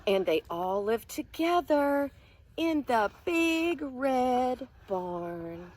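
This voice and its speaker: Animated, high-voiced woman reading to young children. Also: drawn-out words, slight background noise. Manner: expressive, sing-song, often drops to a hushed whisper; animated, asks questions and explains words to children. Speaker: preschool read-aloud teacher. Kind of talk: picture book read-aloud with explanations to young children.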